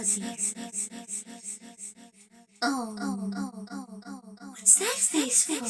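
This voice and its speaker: A woman voicing a sinister, childlike ghost girl with sweet menace, mocking and melancholy, in a horror fan character reel. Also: exaggerated voice. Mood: eerily sweet. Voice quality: childlike, high-pitched. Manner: slow, sing-song, taunting. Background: music.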